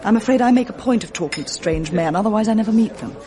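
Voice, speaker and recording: An actress plays a sharp, self-assured young Oxford woman who teases everyone she talks to, her voice rising and falling a lot. A party crowd chatters behind her in this radio drama. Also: medium pitch, lively intonation, quick pace, natural voice. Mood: teasing. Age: young adult. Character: clever, bold female Oxford student. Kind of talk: 1980s radio drama acting.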